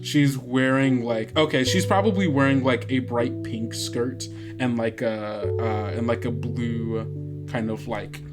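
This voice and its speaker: A game master narrating an improvised tabletop game casually, haltingly thinking aloud. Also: mid-range voice. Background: guitar music.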